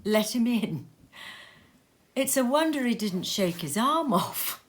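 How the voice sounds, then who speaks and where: A woman narrating a classic tale as an expressive storybook reading at home, lively and theatrical, with many changes of voice. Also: fairly high pitch, slow pace. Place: cozy sitting room at home.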